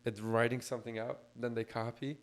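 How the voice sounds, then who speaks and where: Man, podcast co-host, a bit hoarse, level monotone delivery, relaxed and chatty, lots of ums and likes. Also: mid-range voice, brisk. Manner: laid-back, explains with many fillers. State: hoarse, recovering lost voice. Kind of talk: casual podcast chat.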